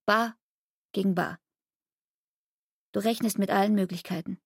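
An actress playing a hurt, reproachful young barmaid in a literary radio drama, speaking in a measured, deliberate and intense way. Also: female voice, mid-range voice, fairly even intonation, husky, dry recording, clean studio sound. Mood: wounded, accusing.

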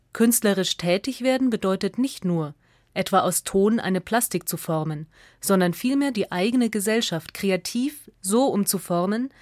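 A woman reading a scripted radio essay as narrator, in a measured, factual and articulate way. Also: mid-range voice, darkish tone, no echo, clean studio sound.

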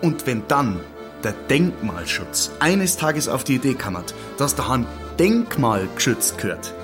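Stern Bavarian village mayor, music behind. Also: male voice, mid-range voice, big pitch swings, measured pace. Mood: insistent. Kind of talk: German radio drama acting.

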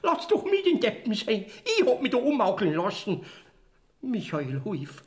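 An actor playing a pleading, sing-song Bavarian death figure in a radio play, with a Bavarian accent. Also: male voice, high-pitched, wildly swooping pitch, unhurried, full character voice.

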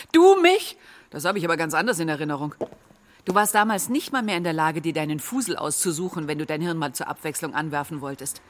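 A woman's voice: deep voice, lively intonation, a little fast. An actress playing a scornful, combative woman in a bitter quarrel with her partner, biting and mocking, in a radio drama.

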